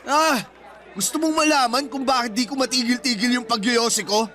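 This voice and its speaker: An embittered older brother in his thirties, worn down by providing for his family. His Filipino-accented voice is very high for a man and bright, full of hurt indignation. It is radio drama, with music underneath. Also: fairly even intonation, brisk. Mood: hurt anger. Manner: emotional, wounded, indignant.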